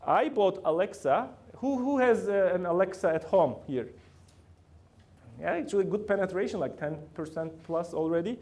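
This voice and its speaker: A man's voice: high voice, expressive, moderate pace, darkish tone. A middle-aged man with a Turkish accent giving a lecture to students in a university room, often asking the audience questions. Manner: asks the room frequent questions, loose grammar. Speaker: guest lecturer.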